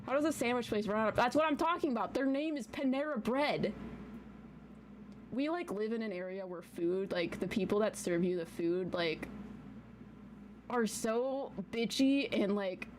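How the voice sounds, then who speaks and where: A woman hosting a tarot live stream from her basement, talking in a chatty, slangy way with a small, soft voice and some casual swearing. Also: a little high, lively intonation, moderate pace, a little hiss or hum. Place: home basement.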